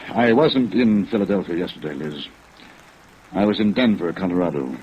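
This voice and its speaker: A guilty attorney in vintage radio drama, subdued and hesitant. His deep voice is muffled by the old recording, carrying constant unease. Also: lively intonation, normal speed, slight background noise. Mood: grave, confessing. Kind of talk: 1940s-50s radio drama acting.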